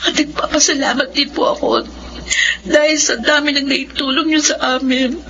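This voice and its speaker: A woman's voice: sits high, flat delivery, moderate pace, fairly bright tone. A woman playing an effusive, girlish young cousin, openly emotional and grateful, in a Filipino radio drama. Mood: grieving, tearful. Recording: muffled audio with faint background noise.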